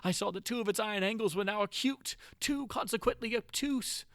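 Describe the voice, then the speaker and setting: A man's voice: sits high, fairly quick, no room sound, clean studio sound. A man narrating gothic horror as a dramatic reading, with an intense theatrical delivery. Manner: theatrical, intense delivery with big swings from hushed to crying out.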